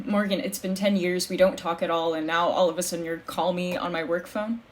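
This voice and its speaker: Woman acting hard-boiled female detective, lowish voice, sardonic, world-weary, audio drama, faint background noise. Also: fairly even intonation, brisk, close to an everyday voice. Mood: hurt, angry. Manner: world-weary, wry, dry. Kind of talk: scripted audio drama narration and dialogue.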